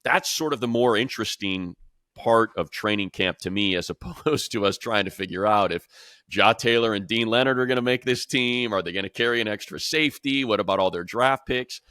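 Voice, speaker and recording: A man's voice: on the low side, brisk, bright, forceful tone, no room sound, studio quality. An American man hosting a casual sports panel, talking informally and throwing out questions. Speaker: sports show host and play-by-play announcer.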